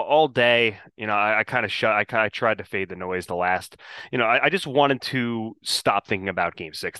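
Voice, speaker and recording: A man's voice: on the low side, sharp tone, smooth, dry recording. A man co-hosting a sports podcast, talking earnestly with frequent restarts. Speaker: podcast co-host. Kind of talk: casual sports podcast chat.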